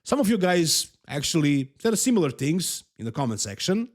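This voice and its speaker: Animated Serbian-accented man ranting. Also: fairly high pitch, normal speed. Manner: emphatic, opinionated, rambling with repeats. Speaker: bodybuilding commentary host. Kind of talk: casual commentary to camera.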